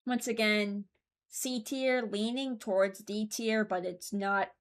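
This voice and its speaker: A woman's voice: medium pitch, steady tone, slow. A woman hosting a video, giving casual, rambling commentary and hesitating as she thinks aloud. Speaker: video creator and host.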